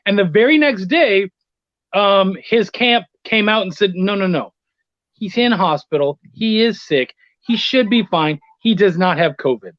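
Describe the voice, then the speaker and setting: A middle-aged man telling anecdotes in a casual interview, blunt and quick to swear. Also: sits high, expressive, dry recording, muffled recording. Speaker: interview guest, freelance writer.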